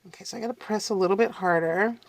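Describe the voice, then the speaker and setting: Middle-aged woman host with a cold, congested and raspy, chatting and apologizing through a relaxed crafting tutorial. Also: mid-range voice, rapid-fire, no room sound. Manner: chatty, apologetic, talks to viewers while working. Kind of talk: casual craft tutorial chat.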